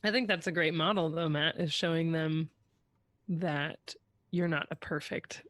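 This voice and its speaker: A man with a fairly high voice co-hosts a podcast discussion. He speaks conversationally, and the recording is dry with almost no room sound. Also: unhurried, fairly bright tone, no room sound. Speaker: podcast co-host.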